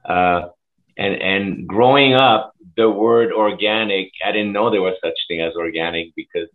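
Passionate man on a tinny line. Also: low-pitched. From Switzerland. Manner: passionate, emphatic, rapid bursts. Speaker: school food services director. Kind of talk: conversational interview.

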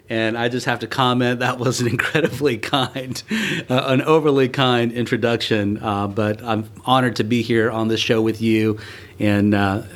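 A middle-aged man from South Alabama speaks as a podcast guest, his voice mid-pitched and fairly level. He comes across warm and humble, with lots of small hesitations. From South Alabama, USA. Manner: warm, humble, many "uh" fillers. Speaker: guest, malpractice lawyer. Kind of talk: casual podcast interview. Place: law firm conference room.

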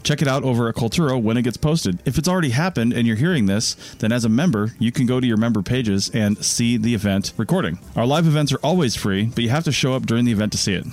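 A man's voice: medium pitch, fast, few pauses, darkish tone. A man who hosts podcasts, reading a scripted promotional announcement. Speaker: podcast network founder and host.

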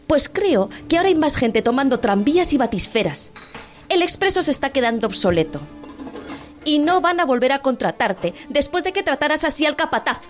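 An actress playing a weary, sharp-tongued working-class wife, reproachful and sarcastic, in an audio drama. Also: female voice, sits high, very animated, fairly quick, faint music behind. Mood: scolding, worried.

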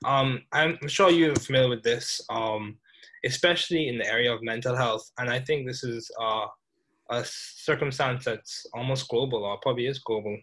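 A man from the Bahamas with a mid-pitched voice hosts a conversational interview. He is deliberate, often restating a point or question in other words. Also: fairly bright tone, low-quality recording. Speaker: podcast host, interviewer.

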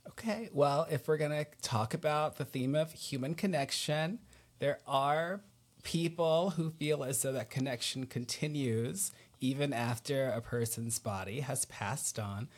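A man's voice: medium pitch, unhurried. A man hosting a podcast in casual interview style, searching for his words as he talks.

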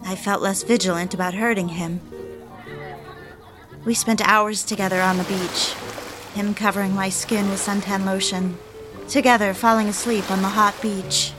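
A woman's voice: mid-range voice, fairly even intonation, normal speed, lightly acted. An actress playing a wistful, obsessive young newlywed who confides her story in genteel, literary dramatic narration. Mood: wistful, confiding. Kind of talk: first-person dramatic narration for an audio drama. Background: background music.

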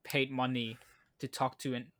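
A man's voice: mid-range voice, level tone, moderate pace, a bit bright, no echo. Young man with dry teasing quips. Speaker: podcast co-host. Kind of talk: casual podcast chat.

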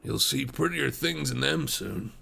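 Man acting a dying frontier wanderer, gravelly, weary, gentle, halting rustic speech, storybook character. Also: fairly low pitch, full character voice. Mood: halting, resigned. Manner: halting, folksy, uneducated speech.

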